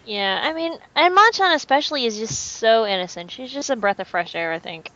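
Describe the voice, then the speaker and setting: A woman's voice: a little high, animated, a little fast, fairly bright tone, no room sound. A woman chatting casually as a podcast panelist, recorded in dull, lo-fi sound. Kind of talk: casual chat.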